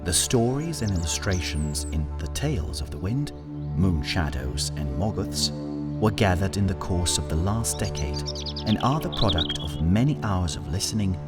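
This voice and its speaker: A man narrating a scripted folktale as a storyteller, in a measured, solemn manner with deliberate phrasing. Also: deep voice, expressive. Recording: studio narration over music. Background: music.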